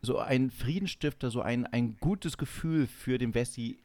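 A man's voice: medium pitch, a little slow, a little mellow, no room sound. A man co-hosting a comedy podcast in casual banter, teasing and announcing things with mock solemnity.

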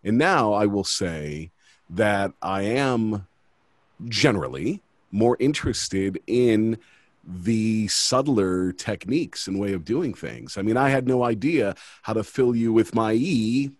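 Low lively-voiced man, dry sound. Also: slow, no room sound. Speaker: tai chi student.

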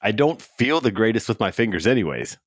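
A man's voice: lowish voice, very animated, a little fast, breathy edge, no room sound, clean studio sound. A man co-hosting a casual podcast chat, talking in an easygoing way with frequent "you know". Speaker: podcast co-host.

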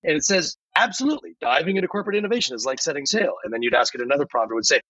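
A man's voice: fairly high pitch, expressive, racing, sharp tone, breathy edge. A man chatting animatedly as a podcast guest, emphatic and given to acting out bits of dialogue. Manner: emphatic, acts out quoted dialogue. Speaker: podcast guest who voiced a chatbot script. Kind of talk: animated conversational discussion. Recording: roomy, slightly dull lo-fi sound.

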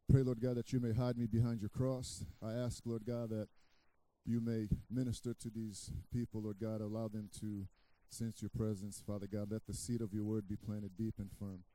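A middle-aged man from Brazil, a guest pastor preaching a sermon, halting with restarts, then loud and emphatic. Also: lowish voice, poor audio.

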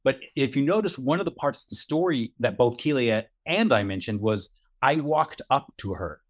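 A man in a middle pitch co-hosts a casual podcast chat. He talks earnestly and encouragingly, circling back and repeating his points for emphasis. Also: lively intonation, moderate pace. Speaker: podcast co-host.

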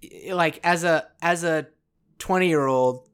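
A podcast co-host in their thirties with a mid-pitched voice talks over a film. The talk is casual, playful and musing, and thoughts often trail off. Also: slow, clear, resonant tone. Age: 30s.

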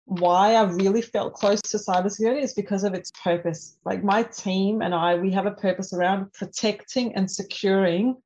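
A woman in her forties from Denmark, a native Danish speaker, calmly walking through her career in numbered steps as a podcast guest. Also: medium pitch, normal speed, few pauses. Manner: methodical, lays points out in numbered steps. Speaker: podcast guest, cybersecurity professional. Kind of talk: conversational interview. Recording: slightly dull lo-fi audio.

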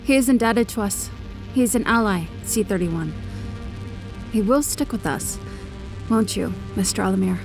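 An actress playing a devout, confident machine-cult tech priest with a solemn, formal manner in an audio drama. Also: female voice, mid-range voice, animated, moderate pace, gravelly, pauses often, poor audio. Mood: fervent, assured. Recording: faint music behind the voice.

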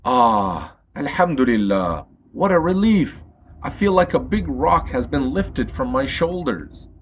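A man's voice: mid-range voice, very animated, unhurried, dull audio, slight background noise. A man playing a patient, principled father who talks to his children in an earnest, explanatory way in an audio story for kids. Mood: relieved.

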